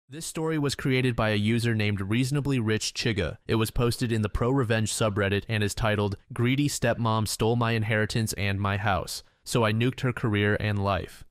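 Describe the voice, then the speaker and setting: Low-voiced man reading stories, dry sound. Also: no room sound. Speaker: reddit story narrator.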